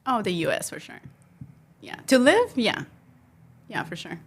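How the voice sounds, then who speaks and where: A woman's voice: a little high, wildly swooping pitch, measured pace, husky. A woman from Mexico with a light Spanish accent, a guest on a podcast, chatting casually with frequent laughs and restarts. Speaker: guest entrepreneur. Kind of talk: casual interview chat. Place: podcast studio.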